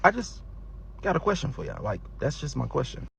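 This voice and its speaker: 30yo guy ranting casually, voice jumps all over, highish, stop-start phrasing. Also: sing-song, a little fast, creaky, fairly bright tone, a little hiss or hum. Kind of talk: casual rant to camera.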